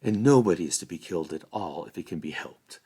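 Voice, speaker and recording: A man reading the part of a grave, merciful hobbit in a fantasy storybook, measured and admonishing. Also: sits low, lively intonation, dark tone, husky. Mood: solemn, admonishing. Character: grave, merciful hobbit calming his hot-headed companions.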